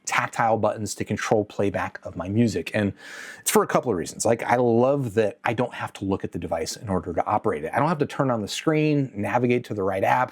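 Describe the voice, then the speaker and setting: Conversational man hosting a review video. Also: medium pitch. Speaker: host of an audio gear review channel. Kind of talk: casual scripted video review to camera.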